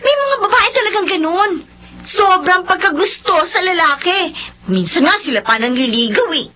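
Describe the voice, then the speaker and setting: A woman's voice: very high voice, lively intonation, moderate pace, sharp tone, exaggerated voice. An actress playing a gossipy, loyal female friend, indignant on her friend's behalf. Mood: indignant, nosy. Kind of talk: radio-drama acting. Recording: muffled lo-fi recording, faint hum.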